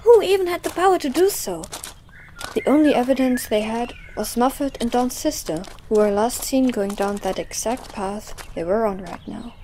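A woman's voice: fairly high pitch, expressive, moderate pace, warm tone, a little hiss or hum. A woman giving a scripted, dramatic narration as the storyteller of an audio drama.

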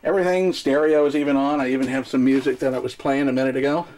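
A man's voice: medium pitch, quick pace. Older man rambling through a boat demo. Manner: relaxed and rambling, frequent uh fillers. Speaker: sailor showing his boat's radio gear. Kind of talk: casual hands-on demonstration. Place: boat cabin at a dock. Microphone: handheld camera mic.

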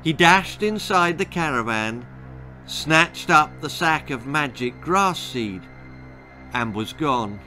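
A man's voice: a little high, lively intonation, slow, pushed and bright, faint music behind, rough recording. A British man in his sixties reading a children's story aloud in a dramatic storytelling style. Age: 60s. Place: small book-lined room indoors.

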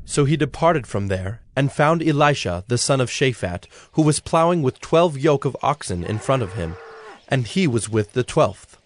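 A man narrating an audio Bible as a scripted, measured storyteller. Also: medium pitch, animated. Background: music.

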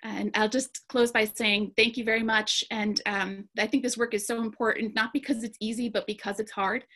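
A woman's voice: a little high, level tone, moderate pace, a bit bright. A woman presenting her slides to an online conference panel. She speaks earnestly, with frequent fillers and restarts.

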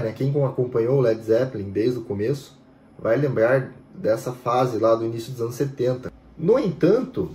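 Man explaining in an echoey room. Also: mid-range voice. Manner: steady, explanatory, frequent "né" fillers. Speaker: music history channel host. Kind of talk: explanatory talk to camera. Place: home room with bookshelves.

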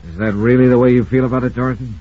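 An American man acting a brisk, commanding, hard-boiled investigator in an old-time radio detective drama, also narrating it. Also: mid-range voice, steady tone, natural voice. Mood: accusing, forceful. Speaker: lead actor and narrator of a radio detective serial. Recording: muffled old radio recording.

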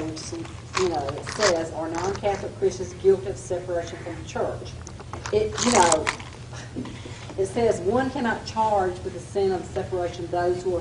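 A woman student in a class, reading aloud and earnestly questioning the teacher. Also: fairly low pitch. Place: church classroom. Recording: distant voice in echoey noisy room.

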